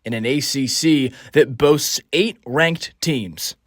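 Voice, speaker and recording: A man's voice: mid-range voice, no room sound, clean studio sound. A man reporting a college lacrosse feature story in a polished, scripted narration. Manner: measured, polished delivery. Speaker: sports reporter.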